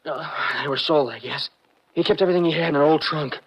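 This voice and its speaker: An actor playing an exhausted, wounded young fugitive, weak, frightened and halting, in 1950s American radio style. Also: male voice, a little high, breathy edge, lo-fi sound. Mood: weary, halting. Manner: halting, pleading. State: weary and weak.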